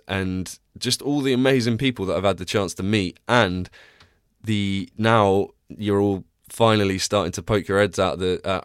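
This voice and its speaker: A man's voice: low-pitched, clear, resonant tone, dry recording, clean studio sound. A young man hosting a podcast, chatting casually and rambling with many restarts, tired and congested. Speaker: podcast host. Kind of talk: casual solo podcast chat. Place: small cramped home recording space.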